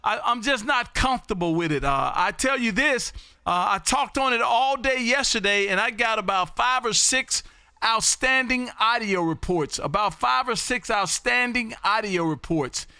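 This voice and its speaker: A man hosting a live stream from his home radio room, folksy, loud and repetitive as he calls out call signs on air. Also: very high voice, expressive, normal speed, clean tone, no room sound. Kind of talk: casual on-air radio chat.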